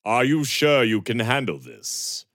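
A man voicing a brisk, confident merchant father. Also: mid-range voice, big pitch swings, a bit bright, no echo, clean studio sound, pitched above the performer's own voice.

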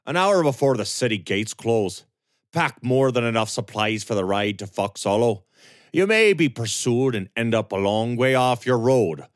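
A man voicing a calm, grim young avenger. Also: normal speed, no room sound, studio quality, natural voice. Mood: commanding. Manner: low, controlled, calm voice.

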